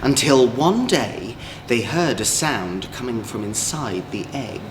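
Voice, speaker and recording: A man with an English accent, a drag queen storyteller, reading a children's story in an expressive, gentle way. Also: mid-range voice, takes it slowly. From Manchester, England. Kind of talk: children's storybook read-aloud. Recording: echoey room, clear background noise.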